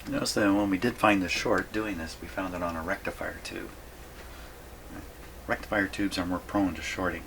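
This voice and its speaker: A man's voice: low voice, fairly even intonation, normal speed, creaky, a bit bright, a little hiss or hum. A man giving casual, folksy workbench commentary as a hobbyist host, musing aloud to himself. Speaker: radio repair hobbyist hosting a video.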